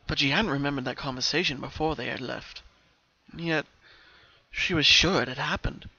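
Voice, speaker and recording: Bright-voiced man narrating dramatically. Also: fairly high pitch, normal speed, gravelly, breathy edge, no echo, highs cut off. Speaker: narrator of fan fiction.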